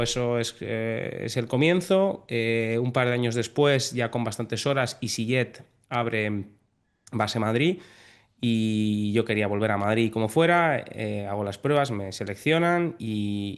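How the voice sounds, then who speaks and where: A man in his thirties from Spain, a pilot telling his story in a relaxed interview. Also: mid-range voice, clear, resonant tone. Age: 30s. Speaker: airline pilot guest.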